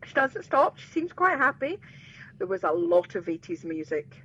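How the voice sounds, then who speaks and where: A woman telling a story in casual chat with animated, self-mocking humour. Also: medium pitch, normal speed, husky. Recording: dull lo-fi sound.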